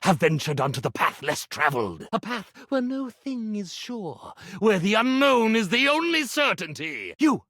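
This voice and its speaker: An actor playing a pompous stage magician in a video game, declaiming in grandiose, archaic phrases and boasting of dark powers. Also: male voice, high-pitched, sing-song, moderate pace, hardly any pauses, no room sound, clean studio sound, full character voice. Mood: grandly menacing. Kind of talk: theatrical video game character acting.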